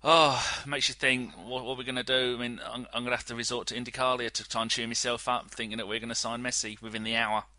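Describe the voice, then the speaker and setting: A man's voice: mid-range voice, little pitch movement, quick pace, few pauses, pushed and bright, dry recording. A man on a podcast panel, joking and teasing his way through casual football banter.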